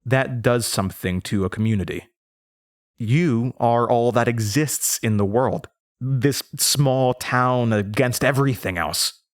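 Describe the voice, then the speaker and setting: A man playing a nervous young man from a small Midwestern town, recounting his past in a halting, conversational audio drama monologue. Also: lowish voice, a bit bright, dry recording, studio quality. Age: young adult. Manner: hesitant, stammering, rambling. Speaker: audio drama lead character.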